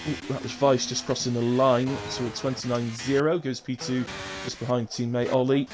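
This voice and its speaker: A man with a chesty, mid-range voice hosts a live sim racing stream. He commentates informally in short running phrases, with clear noise behind him. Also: mid-range voice, little pitch movement, moderate pace. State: coughing, chest infection. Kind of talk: live race commentary with casual chat.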